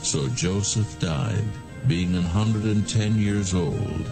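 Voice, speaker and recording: A man giving solemn, measured scripted narration of scripture as a storyteller. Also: on the low side, expressive, gravelly, over music.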